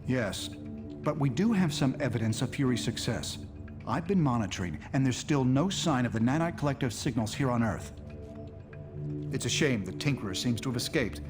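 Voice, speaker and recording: Game scientist hero male actor: analytical, worried, explanatory, mid voice over clear score. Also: medium pitch, animated, moderate pace, music in the background, lightly acted. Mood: explaining. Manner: precise, explanatory. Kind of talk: dramatic video game cutscene acting.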